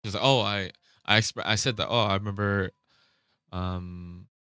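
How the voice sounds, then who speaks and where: Low-voiced man rambling casually. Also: steady tone, moderate pace, pushed and bright, clean tone, dry recording, clean studio sound. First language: Vietnamese. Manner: rambling, self-interrupting, swears casually. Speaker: vlogger.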